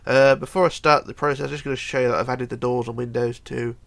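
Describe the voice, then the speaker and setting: A man's voice: medium pitch, level tone, fast talker, creaky, fairly bright tone. A middle-aged man with a cold talks through a casual game build tutorial at his home computer desk, chatting and counting blocks aloud, often mumbling as he goes. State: hoarse with a cold. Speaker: tutorial host.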